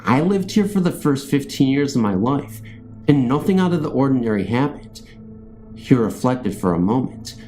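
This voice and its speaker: A man narrates true ghost stories in a dark, mellow voice at a medium pitch. His delivery is scripted first-person storytelling, with faint music underneath. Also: sing-song, normal speed.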